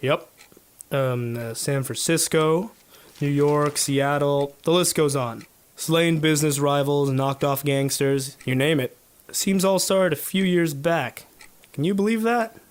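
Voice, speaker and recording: An actor playing an earnest, modest police detective in a 1950s noir radio drama, with a plain-spoken, businesslike manner. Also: male voice, on the high side, unhurried, smooth, natural voice. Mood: confiding.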